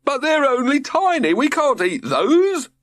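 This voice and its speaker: A man's voice: very high-pitched, very animated, unhurried, a bit bright, no room sound, studio quality, pitched above the performer's own voice, full character voice. A man voicing an eccentric, fussy father in an audiobook, hesitant yet over-enthusiastic. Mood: excited.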